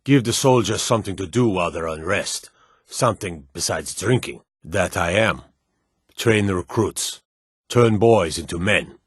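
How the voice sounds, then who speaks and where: An actor playing a stern, dutiful fantasy lord and soldier in a video game, speaking in a formal, measured, authoritative way. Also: male voice, sits low, slightly rough, dry recording, clean studio sound. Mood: gruff, matter-of-fact. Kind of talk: video game character dialogue.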